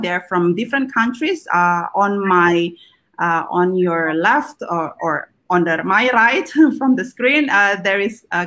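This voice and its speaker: A woman hosting a live video talk, speaking casually with many pauses and fillers. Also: mid-range voice, fairly bright tone. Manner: hesitant, many ums and uhs. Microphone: video call.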